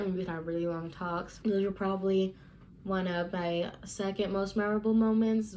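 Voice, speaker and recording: A woman's voice: medium pitch, darkish tone, slight background noise. Chatty young woman vlogging. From United States. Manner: chatty, addresses viewers as y'all, many fillers. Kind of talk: casual storytelling vlog.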